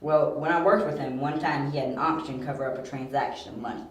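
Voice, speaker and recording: A man's voice: mid-range voice, steady tone, quick pace, fairly bright tone, close to an everyday voice. A man playing a young, confident detective in an amateur audio drama, explaining things precisely. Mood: thoughtful. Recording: roomy amateur home recording.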